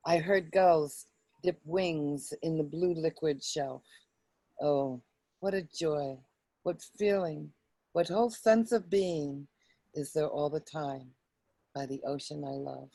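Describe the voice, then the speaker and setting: Slow, mellow-voiced woman, reflective. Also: low-pitched, dry recording. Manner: hesitant, reflective, halting. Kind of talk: poem reading and casual personal recollection.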